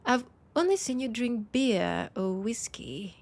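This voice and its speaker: A woman's voice: animated, a little mellow, full character voice. A woman with a French accent playing a poised, teasing vampire and wary old rival in an intimate audio roleplay, slipping into near-whispers. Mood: playful, wry. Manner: slow, low-key teasing with breathy, near-whispered phrases.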